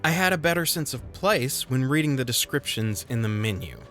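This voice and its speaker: A man of middle pitch narrates a video game review over faint background music. He reads from a script but stays conversational and wry, dropping self-deprecating asides as he goes. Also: expressive, normal speed, fairly bright tone.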